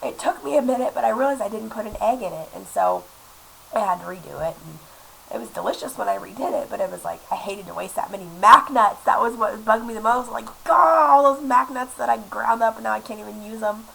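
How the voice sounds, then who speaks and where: Young woman vlogger, sick and stuffed up, gravelly voice, chatty, rambling, filler-heavy, recorded on a laptop webcam mic with faint hiss. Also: fairly high pitch, animated, brisk, pushed and bright, rough recording. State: sick, congested with a cough. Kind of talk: casual chat to camera. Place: small apartment room indoors.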